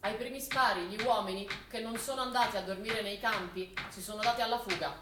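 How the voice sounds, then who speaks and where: A woman's voice: medium pitch, fairly quick. A woman narrating a scripted war drama as a stage actor, telling the events dramatically. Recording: echoey distant stage recording, faint noise.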